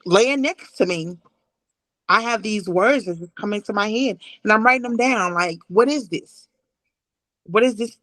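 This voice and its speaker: Woman, thirties, mid-range voice, emphatic, deliberate, personal storytelling, dry recording. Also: medium pitch, fairly bright tone, dry recording. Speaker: playwright, interview guest. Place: indoors, on a video call.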